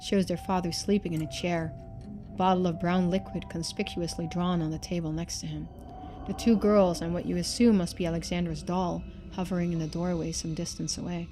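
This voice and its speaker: A woman's voice: fairly low pitch, steady tone, fairly quick, warm tone, faint music behind. A woman streamer giving casual playthrough commentary, thinking aloud and reading game text out.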